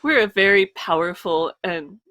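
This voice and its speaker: Bubbly woman with lively, laughing delivery. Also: medium pitch, no room sound, studio quality. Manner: bubbly, laughs often, quick reactions. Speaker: podcast co-host. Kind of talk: casual chat.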